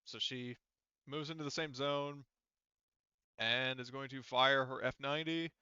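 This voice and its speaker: A man with a bright, forceful voice runs a tabletop game as game master. He explains rules in a halting, careful way. The sound is dry and close, slightly dull. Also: medium pitch, fairly even intonation, smooth, dry recording.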